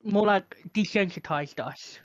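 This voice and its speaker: A man's voice: high-pitched, unhurried, muffled recording. A man co-hosting a podcast in casual chat, tentatively searching for his words. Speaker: podcast co-host.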